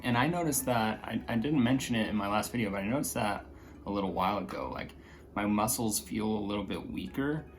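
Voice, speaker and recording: A man with a slightly raspy voice chatting casually in a vlog, hesitant, with many fillers and restarts. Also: fairly low pitch, a little fast, faint music behind. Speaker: vlogger.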